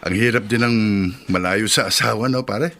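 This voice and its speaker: A man's voice: fairly low pitch, normal speed, creaky, bright, forceful tone, a little hiss or hum. An actor playing a homesick middle-aged father working overseas in a radio drama, with a Filipino accent. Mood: wistful, easygoing.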